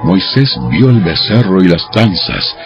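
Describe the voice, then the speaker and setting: Male narrator for dramatized scripture; extremely deep, animated, slightly dull recording with faint music. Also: unhurried.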